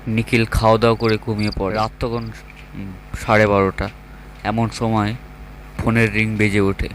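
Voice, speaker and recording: A man's voice: fairly low pitch, flat delivery, moderate pace. Male storyteller with noisy background. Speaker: narrator. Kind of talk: scripted horror story narration.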